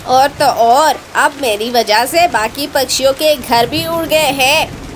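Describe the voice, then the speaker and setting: A woman's voice: sits high, takes it slowly, slight background noise, pitched below the performer's own voice. A woman voicing a lazy male crow in a cartoon story, guilty and pleading for forgiveness. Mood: remorseful. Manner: remorseful, pleading.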